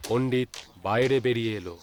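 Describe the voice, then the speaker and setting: A man's voice: mid-range voice, brisk, noisy background. A man narrating a scripted ghost story as a storyteller.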